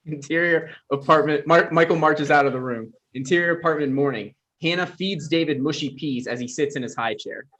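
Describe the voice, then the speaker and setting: A man's voice: on the high side, brisk, few pauses, fairly bright tone. A man reading stage directions as the narrator of a scripted table read. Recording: dull, lo-fi sound.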